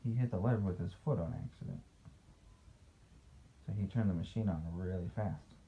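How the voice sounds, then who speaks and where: A man's voice: low voice, moderate pace, muffled recording. A man reading a picture book aloud as a father to a child, playful, with casual asides and questions.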